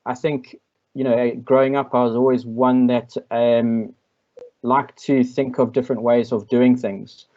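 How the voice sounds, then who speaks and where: A South African man speaks in a steady, level tone, giving his answers to an online interview in a measured, reflective way. He often pauses on ums and restarts. The audio is a little muffled, as over a video call. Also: mid-range voice. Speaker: invited speaker. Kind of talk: online masterclass Q&A.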